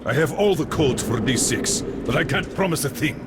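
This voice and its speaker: A man's voice: fairly high pitch, animated, moderate pace, heavy background noise, close to an everyday voice. An actor playing a skeptical male military colonel in a dramatic video game, curt, commanding and combative. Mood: curt, wary. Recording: long reverb, music underneath. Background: music.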